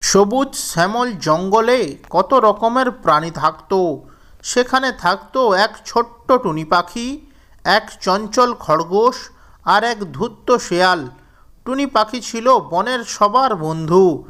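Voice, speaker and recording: A man with a fairly high voice tells a children's story. He reads from a script at a slow, deliberate storybook pace. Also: expressive, fairly bright tone.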